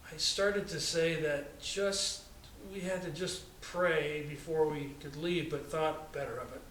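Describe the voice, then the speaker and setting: Higher-voiced American man in an echoey room. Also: steady tone, a little slow, fairly bright tone. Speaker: pastor reading a children's story. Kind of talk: expressive read-aloud storytelling for children. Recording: echoey, distant, noisy room recording.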